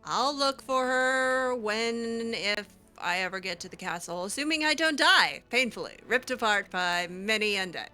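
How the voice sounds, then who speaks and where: A woman's voice: fairly high pitch, animated, measured pace, few pauses, pushed and bright, soft background music. A woman role-playing a video game heroine in improvised dialogue, with a dry, sardonic, hesitant delivery. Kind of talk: improvised in-character gameplay dialogue.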